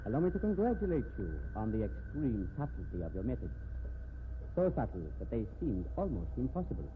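An actor playing a shrewd, unruffled police detective with formal, precise politeness in a 1950s radio drama. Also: male voice, medium pitch, big pitch swings, fairly quick, lightly acted. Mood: courteous, shrewd. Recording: narrow, noisy 1950s radio recording.

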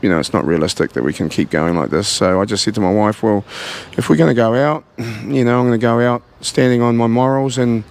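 A man in his forties with a New Zealand accent, talking earnestly and haltingly about his gym in a casual interview, recorded on a handheld mic in a large indoor gym. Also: medium pitch, darkish tone. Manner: earnest, halting, many fillers and restarts. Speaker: gym owner.

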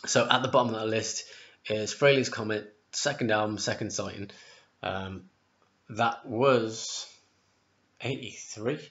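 Hoarse man rambling in his kitchen. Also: on the low side, fairly even intonation, unhurried, pauses often, fairly bright tone. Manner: rambling, chatty, corrects himself. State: sore throat, losing his voice. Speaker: music vlogger. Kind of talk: casual album ranking vlog. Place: home kitchen, indoors. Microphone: webcam at close range. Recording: dull lo-fi webcam audio.

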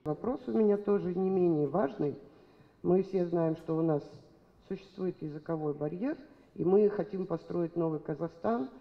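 A woman's voice: on the low side, moderate pace, gravelly. An older woman, a local resident, earnestly putting a question from the audience at a public meeting. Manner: earnest, pleading. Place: large public hall. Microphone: boom microphone held out. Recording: dull hall reverb.

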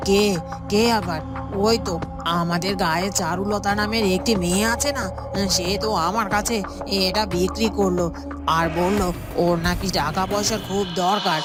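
An actress voices a shifty, defensive washerwoman from a cartoon fairytale. Her mid-range voice hesitates as she justifies herself. Music plays clearly behind her. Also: medium pitch, natural voice. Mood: evasive. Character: evasive, defensive village washerwoman. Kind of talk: animated cartoon dubbing.

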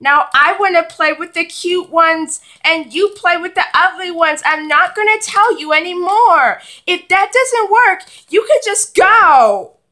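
Woman playing a bossy big sister, slow and bright. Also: extremely high pitch, expressive, heavily acted. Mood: exasperated, firm. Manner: bossy, dismissive. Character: bossy, selfish older sister, a young girl. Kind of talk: doll play skit.

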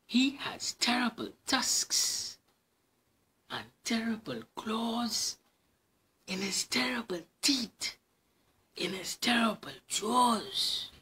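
A man with a Trinidadian accent voicing a small, polite, bluffing mouse in a storybook, with teasing hushed phrases. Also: very high-pitched, big pitch swings, extremely slow, husky, voice pushed up, exaggerated voice. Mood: menacing bluff.

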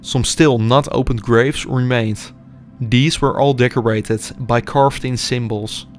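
A young man reads scripted documentary narration in a measured, explanatory way. His voice sits at an ordinary middle pitch, and faint music plays underneath. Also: fairly even intonation. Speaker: urban explorer narrator.

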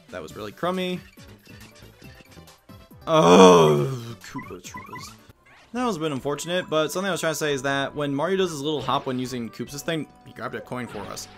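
A man hosting a let's play, giving chatty, self-mocking commentary with quick asides. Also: mid-range voice. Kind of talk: casual game commentary.

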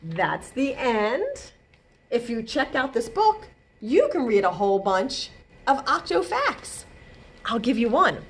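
A middle-aged woman hosting a children's storytime in an animated, expressive way, talking to young children. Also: on the high side, slow pace. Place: indoors, small library children's room. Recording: noticeable background room noise.

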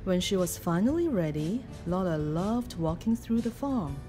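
A woman reading a children's picture book aloud in an expressive, deliberate storytelling manner. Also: on the low side, soft background music. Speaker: storybook reader.

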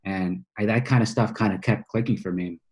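Deep-voiced man, casual podcast guest. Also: steady tone, brisk, a little mellow, slightly rough. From United States, Boston. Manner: informal, slangy speech. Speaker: podcast guest, financial coach. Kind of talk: casual conversational storytelling. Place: small office, indoors, on a video call.

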